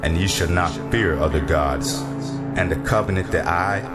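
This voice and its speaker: A man's voice: low voice, moderate pace, roomy sound, loud music behind the voice. A man narrating scripture as a drama, in a solemn, declamatory manner.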